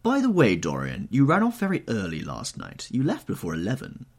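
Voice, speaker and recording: Audiobook: male narrator doing a witty aristocrat, low and husky, dry epigrams. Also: wildly swooping pitch, fairly quick, full character voice. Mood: wry. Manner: languid epigrams, drawling. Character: witty cynical aristocratic gentleman.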